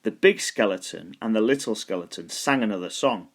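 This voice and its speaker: A man reading a children's story aloud as scripted narration, deliberate and expressive, stretching words for emphasis. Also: on the low side, slightly rough. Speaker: storybook reader.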